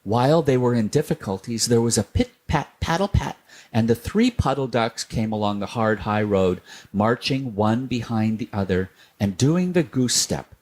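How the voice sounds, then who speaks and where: A man reading a children's storybook aloud, gentle and unhurried. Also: medium pitch, animated.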